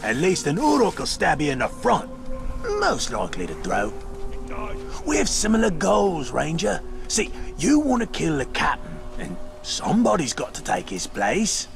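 A man with a British accent voicing a scheming, wheedling, boastful orc in a video game, gravelly and theatrical. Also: fairly high pitch, wildly swooping pitch, over music, full character voice. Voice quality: gravelly, creaky. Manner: sly, theatrical, drawn-out phrasing. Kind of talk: video game character acting.

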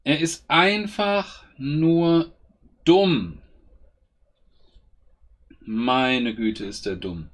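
A man's voice: on the high side, very slow, long pauses. A man giving casual gameplay commentary, grumbling and exasperated as he swears at his own units. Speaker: gaming YouTuber.

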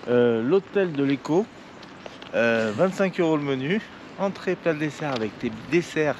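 High-voiced man, casual vlogger. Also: lively intonation, a little slow, noisy background. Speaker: vlogger showing his camper build and travels. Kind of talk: casual vlog chat.

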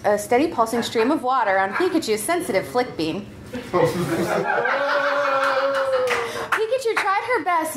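A woman's voice: high-pitched, noisy background. A woman reading a comedic story aloud live, narrating in a playful, giggly way and readily breaking character. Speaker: troupe member reading own comedy story.

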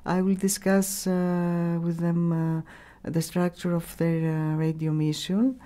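A middle-aged woman with a Greek accent, giving halting, unscripted interview answers as she searches for words. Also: fairly low pitch, steady tone, mellow. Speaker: radio station staff member, interviewee. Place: small room, radio studio. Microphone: studio mic on boom arm.

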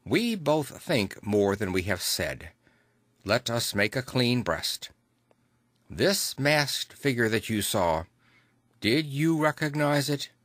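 A man's voice: mid-range voice, sing-song, slow, fairly bright tone, no room sound, clean studio sound, full character voice. A man voicing a grave, commanding Victorian lawyer in an audiobook, formal and decisive. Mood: frank, probing.

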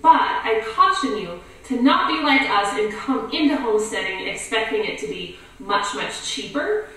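Homestead lady talking candid on a leather couch, kinda high, mic far away and echoey. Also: sing-song, moderate pace, a bit bright. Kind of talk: casual talk to camera. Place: living room with leather couch. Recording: roomy, from a distance.

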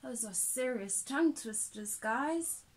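A woman's voice: fairly high pitch, lively intonation, takes it slowly, a little hiss or hum. A woman in her thirties reading a picture book aloud to children as a teacher, expressively, with little asides. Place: small indoor room with soft toys.

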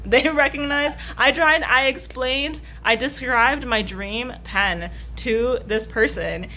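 Young woman artist, tired, slightly hoarse, rambly and casual, laughs between thoughts. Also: high voice, takes it slowly, bright, forceful tone, a little hiss or hum. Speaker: artist vlogger. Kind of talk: casual vlog chat. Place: hotel room and convention hall.

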